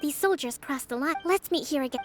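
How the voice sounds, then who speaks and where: A woman's voice: sits high, animated, fairly quick. A woman playing a composed young priestess leader in a video game, reassuring, with formal, tactical phrasing. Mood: calm, businesslike. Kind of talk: English video game voice acting. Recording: music behind the voice.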